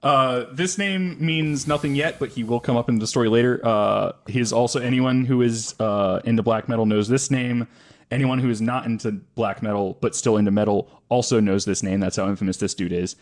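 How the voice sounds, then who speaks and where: Guy podcast host telling a story off his notes, medium pitch, wry side comments, fixes his own wording. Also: steady tone, a little fast. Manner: wry asides and self-corrections.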